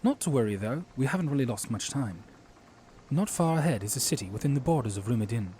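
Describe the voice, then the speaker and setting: A man playing a courteous, watchful young knight commander in an audio drama, speaking formally and earnestly in measured phrases. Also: mid-range voice, expressive, dark tone, a little hiss or hum, natural voice. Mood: reassuring.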